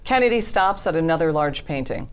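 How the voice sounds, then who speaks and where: A woman serves as narrator for a script reading. Her voice sits a little low for a woman as she reads out scene and action descriptions. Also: lively intonation, brisk, clean tone. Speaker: narrator reading stage directions.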